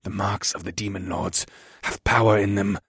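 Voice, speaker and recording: A man voicing a shaken, foppish half-demon thief in a fantasy audiobook, with hushed, grave, determined delivery. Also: fairly low pitch, fairly even intonation, normal speed, husky, no echo, studio quality, full character voice. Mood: heated warning. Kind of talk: fantasy audiobook character acting.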